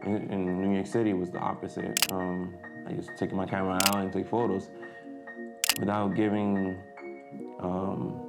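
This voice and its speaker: A man's voice: sits low, completely flat delivery, moderate pace, gravelly, over loud music. A young man, a native Spanish speaker from the Dominican Republic, chatting casually to camera in a vlog, hesitant with many fillers and restarts. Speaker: vlogger.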